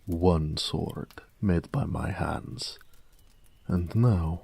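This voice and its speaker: A man plays a big-hearted orc blacksmith in a romantic audio roleplay. His voice is very low and mellow, and he takes his time with each phrase. The delivery is tender, measured and wistful, sometimes hushed. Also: creaky, husky, exaggerated voice. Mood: earnest, measured. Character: gentle peace-loving male orc blacksmith, tender and wistful.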